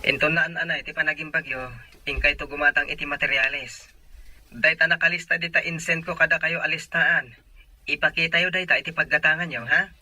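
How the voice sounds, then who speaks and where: An actor playing a dutiful, take-charge adult son in a Filipino radio drama. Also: male voice, a little high, fairly even intonation, a little fast, bright, forceful tone, poor audio. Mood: brisk, instructing.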